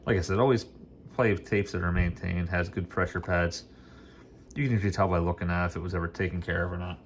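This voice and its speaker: A man's voice: sits low, steady tone, fast talker, noisy background. A man giving a casual, unscripted demo as a hi-fi hobbyist, rambling along in an informal, enthusiastic way. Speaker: vintage hi-fi hobbyist demonstrating gear.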